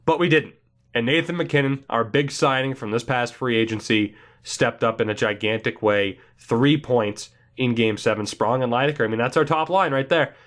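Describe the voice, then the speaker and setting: A young man hosting a gaming video, giving excitable, rambling let's play commentary on a hockey video game while congested from a cold. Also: mid-range voice, moderate pace. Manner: excitable, rambling, thinks aloud.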